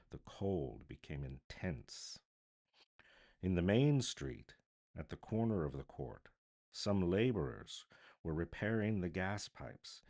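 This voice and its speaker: A man's voice: deep voice, vocal fry. A man giving a dramatic scripted narration of a classic storybook, as a voice artist reading aloud.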